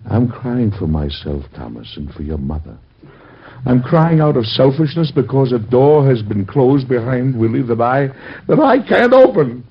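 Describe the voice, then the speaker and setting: An actor playing a devout, grieving father and president, husky and gravelly, in a solemn, measured way of talking, from an old radio drama. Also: mid-range voice, big pitch swings, lo-fi sound. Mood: gentle. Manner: solemn, measured, gently reassuring.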